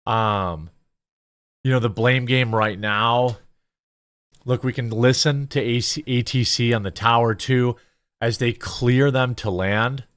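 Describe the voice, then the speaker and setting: A man's voice: mid-range voice, takes it slowly, fairly bright tone, smooth, dry recording. A man giving casual commentary on news footage as a show host, conversational and a little hesitant as he thinks aloud.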